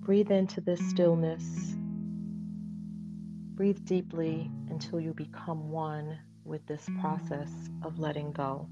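Woman, guided meditation, lowish flat voice, soothing, long pauses, slightly dull recording, loud music behind. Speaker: meditation guide, holistic practitioner. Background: loud plucked guitar music.

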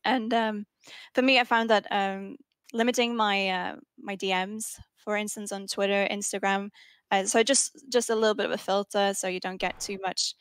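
A woman's voice: mid-range voice, fairly even intonation, normal speed, a bit bright, smooth, dry recording. A woman streamer speaking on a panel, hesitant, with many ums. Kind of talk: casual panel discussion.

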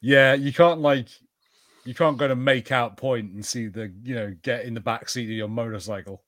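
A man's voice: mid-range voice, steady tone, moderate pace, fairly bright tone. A man co-hosting a podcast, chatting casually over a movie and joking with made-up bits of dialogue. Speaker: podcast co-host. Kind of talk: casual watch-party banter.

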